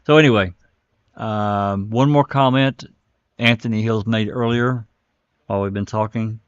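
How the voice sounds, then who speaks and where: Low-voiced man rambling in a roomy space. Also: fairly even intonation, a little slow, clear, resonant tone, highs cut off. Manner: rambling, thinking aloud. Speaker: live stream host. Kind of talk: casual commentary on case records.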